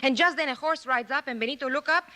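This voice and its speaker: Fiery Mexican señorita. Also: female voice, high voice, fast. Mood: earnest. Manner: defiant, broken English, shouting when angry. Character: fiery young Mexican woman guarding her brother. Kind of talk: 1950s radio drama acting. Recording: old radio broadcast recording.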